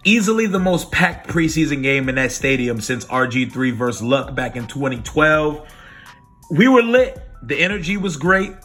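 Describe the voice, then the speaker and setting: A hoarse man ranting casually and with lots of swearing in a sports fan video recap. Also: a little high, normal speed, soft background music. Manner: animated, profane, opinionated. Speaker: sports vlogger.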